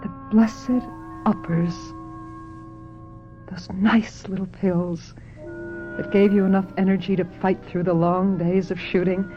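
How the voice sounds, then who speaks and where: An actress playing a haunted, pill-dependent film star in a radio drama, theatrical, measured and emotionally raw. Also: female voice, medium pitch, flat delivery, music in the background, close to an everyday voice. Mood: wistful confession. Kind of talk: radio drama acting, confessional narration and dialogue. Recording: dull lo-fi radio drama recording.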